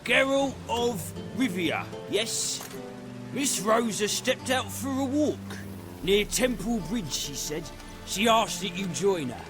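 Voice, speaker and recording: A man playing a courteous household servant in a video game, speaking in a formal, deliberate manner. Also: high-pitched, animated. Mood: formal, polite. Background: background music.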